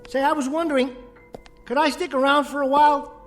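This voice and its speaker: Jittery ventriloquist: haunted, insistent, stammering pleas, radio drama with faint music. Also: male voice, very high-pitched, little pitch movement, fairly quick, a bit bright. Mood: uneasy, wheedling. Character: jittery, haunted male ventriloquist pleading for company. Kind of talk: radio play acting.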